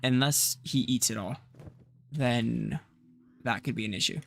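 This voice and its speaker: Tired gravelly male game streamer. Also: mid-range voice, unhurried, a bit bright, slight background noise. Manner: rambling, thinking aloud with fillers. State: tired, slightly rough voice. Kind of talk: casual let's-play commentary.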